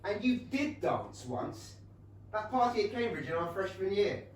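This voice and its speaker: An actor playing a boyishly enthusiastic, rich young Regency gentleman with an English accent in a staged comedy reading. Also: high-pitched, sing-song, measured pace. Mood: gushing, excited. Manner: eager, gushing. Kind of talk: staged reading of a comic play. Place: small theatre stage. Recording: roomy theatre, distant mic, faint noise.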